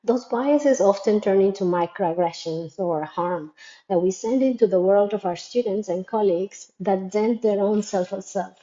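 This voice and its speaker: A woman's voice: mid-range voice, moderate pace, warm tone, roomy sound, highs cut off. A woman whose first language is Spanish, from Latin America, an educator giving a thoughtful, earnest reflective monologue that poses questions to listeners. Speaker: educator and creator of a school framework.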